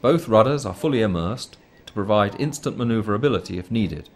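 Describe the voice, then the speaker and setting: A man reading a scripted documentary commentary in a formal, measured way. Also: on the low side, animated, a little hiss or hum. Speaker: narrator.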